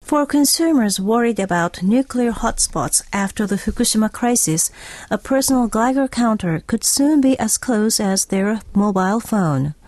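Mellow-voiced woman reading radio news. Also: medium pitch, expressive, normal speed, no echo. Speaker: news reader. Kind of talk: scripted radio news report.

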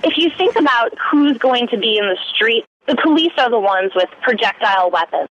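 Fairly high-pitched female activist, forceful, on a muffled lo-fi line. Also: expressive, brisk. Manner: forceful, indignant. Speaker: activist spokesperson. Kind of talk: interview statement.

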